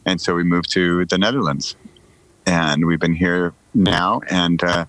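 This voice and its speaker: A man's voice: sits low, steady tone, a little slow, a bit bright, no room sound, low-quality recording. A middle-aged man, telling casual stories as a podcast guest in a joking, conversational way. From United States.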